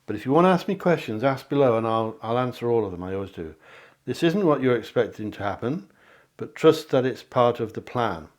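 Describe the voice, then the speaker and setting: A man's voice: mid-range voice, lively intonation, fairly quick. A man giving a card reading straight to the viewer, repeating phrases for emphasis as a psychic host. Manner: repeats phrases for emphasis, addresses listener directly. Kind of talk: tarot reading addressed to viewers. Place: at home.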